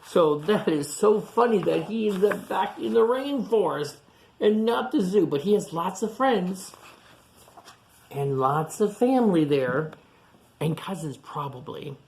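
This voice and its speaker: A woman reading a picture book aloud to children, chatting to them in animated asides and questions. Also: lowish voice, unhurried, vocal fry, a little hiss or hum. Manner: animated asides to young listeners, asking questions. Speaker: storytime reader.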